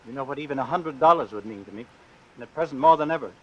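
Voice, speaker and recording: An actor playing a humble, devout, hard-up clerk in a vintage radio drama, speaking earnestly. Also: male voice, mid-range voice, rapid-fire, muffled recording, close to an everyday voice. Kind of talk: old-time radio suspense drama acting. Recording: noisy vintage radio recording.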